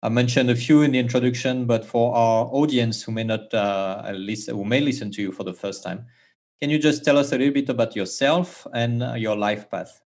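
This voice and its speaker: A man's voice: mid-range voice, steady tone, brisk, darkish tone. A man hosting a podcast, opening the episode and asking questions in a formal, welcoming manner. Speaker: podcast host. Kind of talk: podcast interview.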